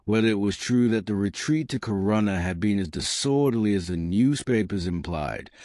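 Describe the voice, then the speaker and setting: A man with a low voice narrates a period romance in a close, dry recording. His phrasing is measured and deliberate, and his pitch moves freely up and down through each literary sentence. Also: a little mellow, dry recording. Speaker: audiobook narrator. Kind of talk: scripted narration of a historical romance.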